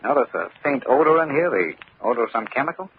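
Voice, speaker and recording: An actor playing a confident, brisk male insurance investigator in an old-time radio drama, with a clipped, commanding manner. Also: medium pitch, animated, a little hiss or hum, close to an everyday voice. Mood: brisk, probing. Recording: muffled vintage radio recording.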